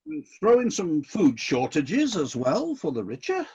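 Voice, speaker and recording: Man playing a high-voiced, husky, indignant God. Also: wildly swooping pitch, unhurried, darkish tone, dull audio, heavily acted. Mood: firm, hopeful. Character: God, disillusioned but decisive ruler of heaven. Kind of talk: radio play acting.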